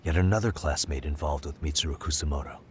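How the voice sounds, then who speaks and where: An actor playing a calm, analytical male detective in a video game, speaking in a measured, thoughtful way. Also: extremely low pitch, a little hiss or hum. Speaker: detective lead.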